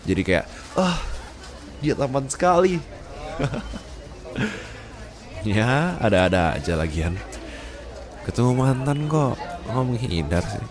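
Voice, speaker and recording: A man's voice: mid-range voice, big pitch swings, slow, long pauses. A man playing a stern, haughty young boss who also teases as a boyfriend, in an Indonesian ASMR roleplay. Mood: teasing.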